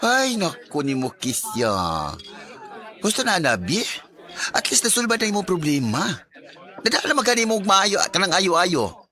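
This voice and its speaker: Bright, higher-pitched man chiding bluntly. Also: very animated, measured pace, heavily acted. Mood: placating. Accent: Cebuano Filipino. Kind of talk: Filipino radio drama acting.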